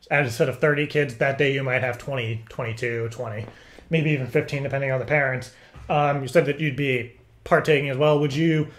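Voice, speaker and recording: A man talking casually on a phone call as if interviewing someone, in a rambling, stammering, halting way. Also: a little high. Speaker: prank caller.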